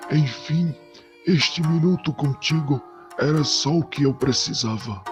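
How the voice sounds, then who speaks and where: An actor playing a stern, proud, ailing old samurai lord and father in an audio drama, curt and commanding, with a Brazilian accent. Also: on the high side. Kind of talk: scripted audio drama acting.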